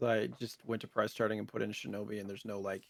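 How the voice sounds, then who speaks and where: Dude co-hosting a game podcast, gravelly fry in his voice, very dry sound. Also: lowish voice, flat delivery, quick pace, no room sound. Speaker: podcast co-host. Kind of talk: casual retro gaming podcast chat.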